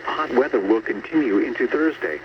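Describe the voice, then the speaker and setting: Noisy dull weather radio, robotic tts guy reading a formal weather bulletin, measured delivery. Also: medium pitch, animated. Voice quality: synthetic text-to-speech voice. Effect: weather radio broadcast. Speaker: automated weather radio announcer. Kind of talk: scripted weather bulletin narration. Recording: noisy, muffled long-distance radio reception.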